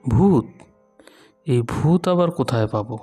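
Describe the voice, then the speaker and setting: A man's voice: mid-range voice, expressive, a little slow, warm tone, breathy edge, soft background music. A man playing a greedy, dim village husband in a cartoon, puzzled and eager in his manner. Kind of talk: animated story dialogue.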